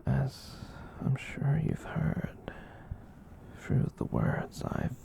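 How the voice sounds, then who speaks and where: A performer voicing a gentle, kind giant catboy in a soft breathy whisper, rambling reassuringly in an ASMR roleplay. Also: mid-range voice, wildly swooping pitch, heavily acted. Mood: soothing, confiding. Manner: rambling, reassuring, slow with long pauses.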